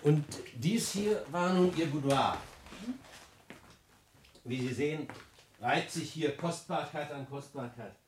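An actor playing a dignified family physician in a classic radio play, formal, measured and declamatory. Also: male voice, on the high side. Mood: courtly. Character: courtly, dignified family physician, loyal and dryly pointed. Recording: noisy old radio drama recording.

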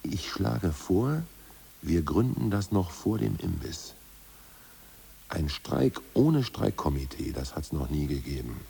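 A gravelly old ousted party boss. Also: male voice, sits low, big pitch swings, takes it slowly, heavily acted, low-quality recording. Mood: scheming. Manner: hushed, conspiratorial whispering. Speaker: ousted Politburo member. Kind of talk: 1980s radio play acting.

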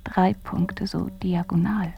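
A bedridden woman in a radio drama, murmuring tenderly in a low, creaky voice. Her delivery is breathy, halting and very unhurried, as if describing visions. Faint music lies underneath. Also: steady tone, highs cut off. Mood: dreamy, searching.

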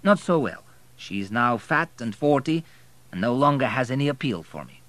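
A man's voice: medium pitch, lively intonation, moderate pace, a bit bright, no echo, pitched above the performer's own voice, natural voice. A man playing a wry, courteous, self-made immigrant hotel magnate.

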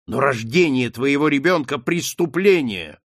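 A man voicing a stern aristocratic older mother who commands her daughter in sharp outbursts, in an audiobook reading. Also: medium pitch, a little slow, no echo, clean studio sound.

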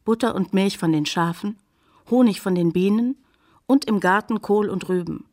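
An actress playing a scientist's devoted daughter, narrating a radio drama in a measured, deliberate, poetic way. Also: female voice, mid-range voice, a little mellow, natural voice. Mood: reflective.

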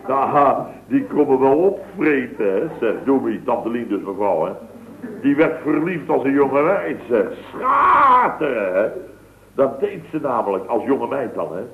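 A man's voice: mid-range voice, very animated, measured pace. A man playing a boastful, rambling contractor in a 1960s radio comedy. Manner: rambling, boastful, full of tag words. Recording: tinny, echoey old radio broadcast.

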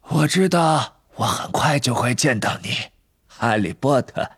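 A man voicing an eerie, wistful old wandmaker in a storybook, soft and breathy, with drawn-out musing phrases. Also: medium pitch, lively intonation, no echo, clean studio sound, voice pushed up, heavily acted. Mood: knowing, nostalgic.

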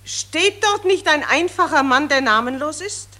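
An actress playing a pushy, gushing female war reporter in a radio play, pressing a soldier with insistent questions in a breathy voice. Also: high-pitched, animated, measured pace, bright, forceful tone, heavily acted.

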